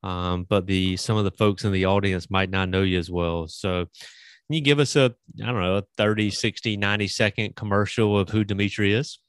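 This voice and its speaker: A man with a low, deep voice hosts a podcast, chatting loosely and filling gaps with "um" and "you know." His voice is smooth and resonant, recorded close and dry with no room sound. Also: steady tone, moderate pace, dry recording. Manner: chatty, rambling with many fillers. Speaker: podcast host. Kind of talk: podcast interview.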